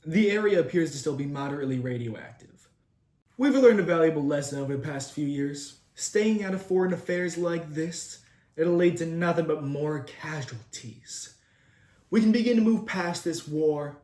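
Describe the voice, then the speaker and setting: A man's voice: on the high side, a little mellow, far from the mic. A teenage boy playing a solemn male field reporter in a mock newsreel, speaking with earnest warning. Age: teens. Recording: roomy echoing room.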